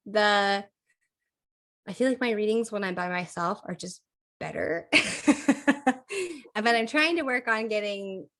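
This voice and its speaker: Woman, podcast co-host, casual and talkative, laughs a lot, slight croak. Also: medium pitch, takes it slowly, pauses often, fairly bright tone. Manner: chatty, laughs often, thinks aloud with fillers. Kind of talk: casual chat.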